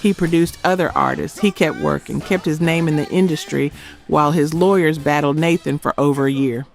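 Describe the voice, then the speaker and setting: A man with a slightly high voice narrates a music documentary from a script. He lands short phrases with dramatic emphasis, and music plays faintly behind him. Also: steady tone, a little fast. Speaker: narrator of a music history video.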